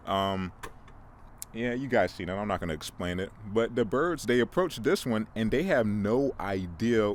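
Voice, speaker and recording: Man, low voice, rambling casual chat, faint traffic behind. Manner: rambling, self-correcting, joking. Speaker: podcast host. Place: outdoors near a bird feeder. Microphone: camera mic.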